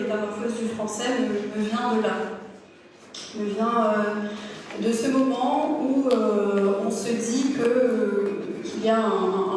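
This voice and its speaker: A woman novelist answering on a book fair panel, thoughtful and halting, with many fillers. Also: fairly high pitch, darkish tone, far from the mic, a little hiss or hum. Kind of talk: panel discussion. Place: large hall at a book fair. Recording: echoey hall, mic from afar.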